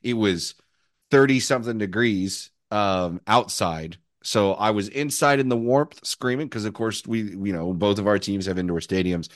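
A man with a hoarse, worn voice, co-hosting a casual sports podcast and needling his friend. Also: on the low side, moderate pace, no echo, clean studio sound. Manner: teasing, needling his co-host. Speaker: podcast co-host.

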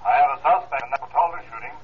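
An actor playing a plain-spoken sheriff reporting over a telephone in an old-time radio crime drama. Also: male voice, mid-range voice, rapid-fire. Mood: matter-of-fact. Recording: thin, hissy old radio phone line.

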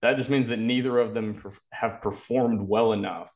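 Guy with a lowish voice, podcast co-host talking football, blunt and opinionated. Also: fairly even intonation, normal speed, a little mellow. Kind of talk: casual opinionated football analysis.

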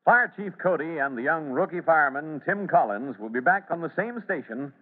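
A man's voice: on the high side, animated, moderate pace. A man narrating an old radio serial in a dramatic, earnest announcer's manner. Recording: muffled, band-limited old radio recording.

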